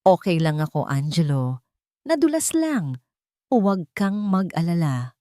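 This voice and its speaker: A woman narrator plays a warm young Filipina caregiver in a love story. She speaks tenderly and earnestly, full of hope, in a dry, close sound. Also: on the low side, very animated, measured pace, dry recording. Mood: reassuring.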